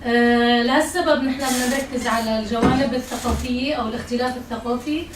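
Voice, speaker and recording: A Syrian-accented woman, native in Arabic, speaks to a group in a fairly high voice at a very rapid pace. She is recorded from a distance in an echoey room with noise behind her. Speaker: event co-organiser and speaker. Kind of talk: spontaneous talk to a group. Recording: echoey, distant mic, clear background noise.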